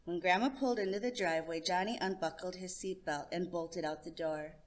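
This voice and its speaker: A woman hosting a story time, reading a children's picture book aloud in an expressive narration. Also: fairly low pitch, a little fast. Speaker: storytime host and reader.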